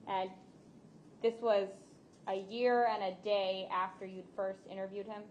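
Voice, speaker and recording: A woman's voice: medium pitch, fairly even intonation, unhurried, slight background noise. A woman attorney putting formal questions to a witness in a courtroom.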